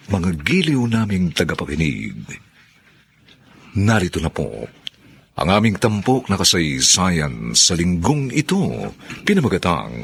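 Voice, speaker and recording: A man's voice: lowish voice, breathy edge. A man announcing a radio drama in a solemn, formal, theatrical way, with scripted narration.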